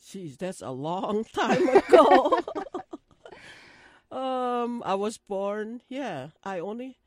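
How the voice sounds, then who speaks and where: A woman's voice: on the high side, big pitch swings, very slow, no echo. Older lady telling her life story, lots of ums and little laughs, dry close sound. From Indonesia. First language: Dutch. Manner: hesitant, frequent fillers, laughs often. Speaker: interviewee. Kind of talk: oral history interview.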